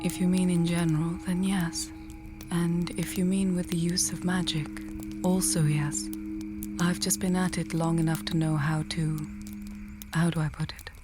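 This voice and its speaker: Woman playing a low-voiced wry fantasy mage. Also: flat delivery, music in the background, lightly acted. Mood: calm, explanatory. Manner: measured, articulate, dryly teasing. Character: wry, confident female fantasy mage and healer, teasing, caring. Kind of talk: fantasy audio roleplay, addressing the listener.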